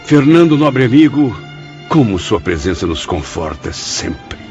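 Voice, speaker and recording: Anguished, impassioned spirit in radio drama. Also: male voice, mid-range voice, big pitch swings, a little slow, a little mellow. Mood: moved. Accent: Brazilian. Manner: theatrical, emphatic. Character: Camilo, anguished male spirit, earnest and impassioned. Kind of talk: Brazilian radio drama acting. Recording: slightly dull audio with music behind.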